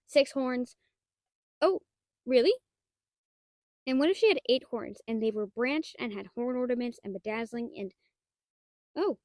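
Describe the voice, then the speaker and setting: A woman's voice: on the high side, a little mellow, no room sound, clean studio sound. A woman playing a shy, nerdy young half-dragon librarian in an intimate audio roleplay, stammering and hesitant, with bookish wording and an easily flustered manner. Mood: shy, tender.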